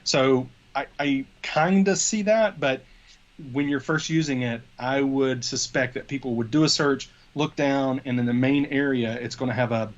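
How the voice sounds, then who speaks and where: A man's voice: mid-range voice, normal speed, dull audio. A man in his forties co-hosting a tech show in a casual, hesitant, conversational way, thinking aloud as he goes. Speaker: co-host of a tech news show. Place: small home office, video call.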